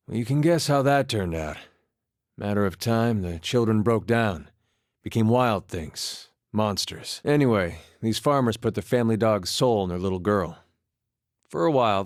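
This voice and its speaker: An actor playing an easygoing, wry farmer and war veteran in a video game, talking in a folksy, understated way. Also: male voice, fairly low pitch, no room sound, close to an everyday voice. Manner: folksy, laid-back, dry understated humor. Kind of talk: conversational video game character dialogue.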